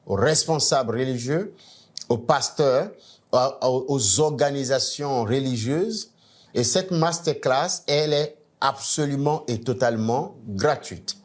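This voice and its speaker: A middle-aged man from Nigeria, a native English speaker, coaching church leaders in a steady teaching talk. He speaks in a measured way, often restarting phrases and leaning hard on key words. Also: mid-range voice. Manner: measured, with frequent restarts and stresses.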